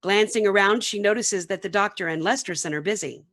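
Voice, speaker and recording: A woman's voice: medium pitch, brisk, a bit bright. A woman reading scripted narration for a radio play, with some background noise.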